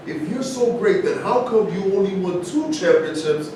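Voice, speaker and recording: Casting: actor as combative man, goading and defiant, slightly raised pitch, far from the mic. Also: expressive, normal speed. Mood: taunting. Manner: confrontational, goading. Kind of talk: staged reading of a play. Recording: echoey room, distant mic, rumbly background noise.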